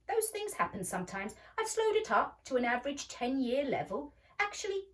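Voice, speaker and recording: Lady doing a kindly little inspector man from a storybook, reassuring, explains stuff, highish voice. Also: very animated, moderate pace, far from the mic.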